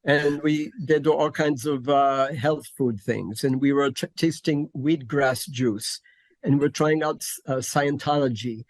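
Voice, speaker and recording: An older man from Connecticut, talking in a halting, filler-heavy way as an interview guest. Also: medium pitch, fairly even intonation, no echo. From Connecticut, USA. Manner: halting, many fillers and restarts. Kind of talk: casual interview conversation.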